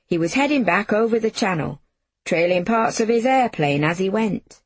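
A woman's voice: mid-range voice, expressive, normal speed, breathy edge, no room sound. A woman voicing a plain-spoken, defiant working man in an audiobook, crowing gleefully in colloquial speech. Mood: proud. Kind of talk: audiobook character voice.

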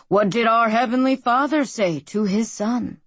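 Fervent male preacher, slow rolling cadence, read by a woman narrator, dry studio audio. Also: a little high, breathy edge, no echo. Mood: preaching. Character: male pastor preaching a televised wedding sermon. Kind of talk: audiobook character reading.

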